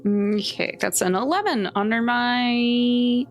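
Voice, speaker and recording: A woman's voice: a little high, slow, faint music behind. A woman playing a tabletop game, talking casually in character with a blunt, wry, informal manner.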